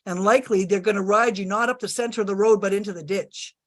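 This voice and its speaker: A woman's voice: medium pitch, steady tone, quick pace. A woman who practices natural health, confidently giving folksy advice on a video call. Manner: confident, folksy, uses sayings.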